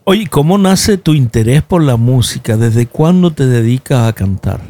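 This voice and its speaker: A man's voice: mid-range voice, expressive, normal speed, mellow. A man hosting a conversational radio interview, speaking familiarly and prompting his guest with questions. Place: radio studio.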